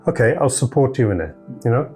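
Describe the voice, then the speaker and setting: A foster father telling a lively, self-mocking story about his family. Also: mid-range voice, fast talker, faint music behind. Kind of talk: anecdotal storytelling.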